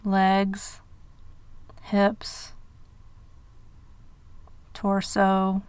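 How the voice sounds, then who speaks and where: A woman guiding a meditation in measured phrases with pauses between them. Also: medium pitch, completely flat delivery, darkish tone, clean tone, no echo. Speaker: meditation guide. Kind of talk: guided meditation narration.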